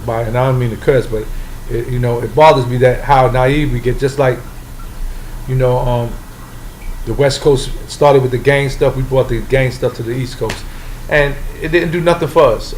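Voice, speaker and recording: A man's voice: medium pitch, a little mellow, slight background noise, rough recording. A man from the Bronx with a New York accent, speaking bluntly and casually as the guest in an interview at home. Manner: blunt, street-talking, emphatic.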